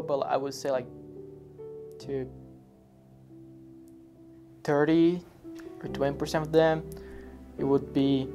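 A teenage immigrant boy speaks hesitantly, full of fillers and restarts. His delivery is flat and even, with faint guitar music underneath. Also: medium pitch. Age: teens. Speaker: immigrant student. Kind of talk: documentary interview.

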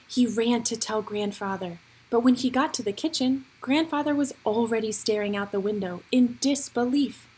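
A woman reading a picture book aloud for young children, with expressive, deliberate storytelling phrasing. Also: on the high side, slight background noise, poor audio.

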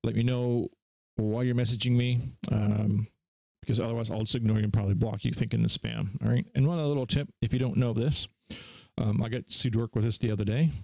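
A man hosting a casual screen-share software tutorial in an informal, offhand way. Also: mid-range voice, fairly even intonation, fast, vocal fry, dry recording. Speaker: tutorial host.

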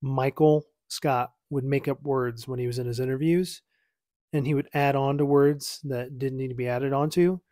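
A relaxed man in his thirties talking from his lamp-lit home office. He wanders and corrects himself in an easygoing, chatty way. The sound is dry and close to the mic. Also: mid-range voice, moderate pace, dry recording. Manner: rambling, self-correcting, easygoing. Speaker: YouTuber reacting to his own video. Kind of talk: casual reaction commentary.

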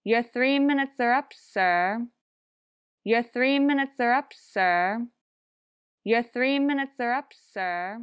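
A woman playing a brisk, formal telephone operator in an old-time radio drama, measured and impersonal. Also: on the high side, smooth, dry recording. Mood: detached, routine.

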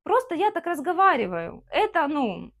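A woman's voice: high-pitched, big pitch swings, normal speed, fairly bright tone. A young Ukrainian woman in her twenties, a vlogger, talking frankly to the camera in her kitchen on a lapel mic, with a Ukrainian accent and lots of fillers. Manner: frank, ranting and gesturing, many fillers. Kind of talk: casual talk to camera. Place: home kitchen, indoors.

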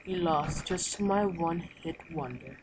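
A woman's voice: sits low, unhurried, noisy background. A woman playing a haughty, easily bored male cat god of destruction in an amateur game roleplay, with an imperious, dismissive manner.

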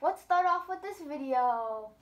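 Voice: high voice, expressive, a little slow. A young vlog host speaking in a playful, scripted skit style. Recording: noisy home camera audio.

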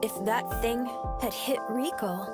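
A woman's voice: fairly high pitch, a little slow, over loud music, close to an everyday voice. A woman voicing an earnest young robot boy in an anime-style game, brave but anxious, with dramatic delivery. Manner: dramatic, hesitant inner monologue and outbursts.

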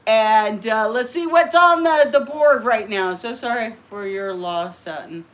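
A woman's voice: on the high side, lively intonation, slow pace, a bit bright. A middle-aged woman hosting a casual livestream from her living room, chatty and rambling, often laughing. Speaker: livestream host. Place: living room, green party decorations.

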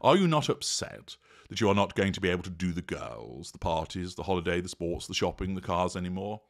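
A man voicing Death, an ancient male grim reaper who speaks in a formal, measured way with dry exasperation. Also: low-pitched, expressive, gravelly. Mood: solemn.

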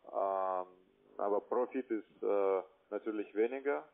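A man's voice: lowish voice, measured pace, gravelly. Hesitant male salesman on a phone line. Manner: hesitant, choppy, non-native phrasing in German. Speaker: telephone investment salesman. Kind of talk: halting sales pitch. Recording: thin narrow-band phone line.

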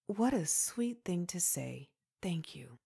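A woman playing a kindly, encouraging widow in a comic dub, husky-voiced, gentle and reassuring. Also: big pitch swings, takes it slowly, full character voice. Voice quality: low, husky.

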